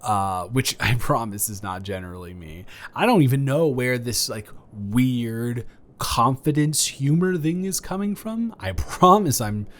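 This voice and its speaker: A man's voice: medium pitch, animated, unhurried, a bit bright. A man improvising a romantic audio roleplay as a flirty, playfully boastful neighbor, talking straight to the listener in a teasing, stammering, rambling way. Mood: flirty, flustered.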